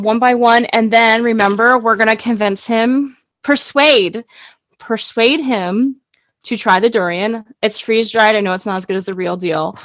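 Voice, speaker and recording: A woman's voice: mid-range voice, fairly bright tone, dry recording. A woman teaching a casual online class, chatty and self-deprecating, over a muffled video call. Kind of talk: casual live online lesson.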